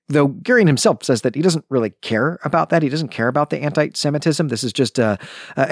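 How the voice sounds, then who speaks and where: Animated man hosting a book podcast. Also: mid-range voice, no room sound, studio quality. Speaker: podcast host. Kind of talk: book discussion podcast commentary.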